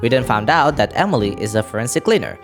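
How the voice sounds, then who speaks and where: A man's voice: mid-range voice, animated, fast, music in the background. A man narrating a scripted game story recap as a video creator, dropping in casual asides.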